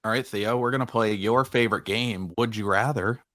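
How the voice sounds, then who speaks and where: Podcast co-host, young man: low pitch, dry close sound, casual and joking. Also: normal speed, fairly bright tone, dry recording. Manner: easygoing, playful teasing. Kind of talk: casual sports podcast chat.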